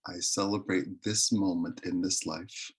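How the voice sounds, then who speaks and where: A man leading a guided meditation with prayer and affirmations, speaking in a soothing, deliberate, reverent way. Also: low-pitched, little pitch movement, slightly rough. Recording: live recording.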